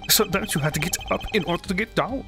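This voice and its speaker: A streamer putting on a smug German rock-star prosecutor. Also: male voice, high-pitched, very fast, over music. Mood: showy. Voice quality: German accent put on.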